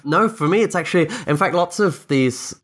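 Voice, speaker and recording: A man's voice: on the high side, expressive, normal speed, fairly bright tone. A man whose first language is Dutch, a startup founder giving thoughtful, rambling interview answers with many restarts and fillers.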